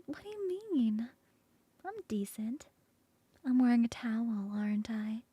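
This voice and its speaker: A woman voicing a flirty female coworker in an ASMR roleplay, close to the mic in a soft, playful whisper. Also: fairly high pitch, lively intonation, measured pace, breathy edge, no room sound. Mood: teasing whisper.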